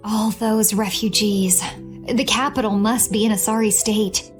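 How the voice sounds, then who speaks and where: A woman playing a composed, practical young adventurer in a video game, speaking in a level-headed, deliberate way. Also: medium pitch, fairly even intonation, over music, lightly acted. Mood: sober, resolved. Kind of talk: English video game dub.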